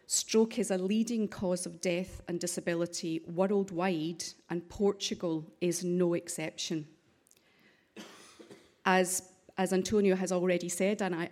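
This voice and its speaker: A woman with a Scottish accent speaks to a parliamentary session in a formal, measured way, now and then pausing on an um or restarting a phrase. Her voice sits a little low. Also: a little mellow. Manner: measured, with occasional ums and restarts. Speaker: conference speaker.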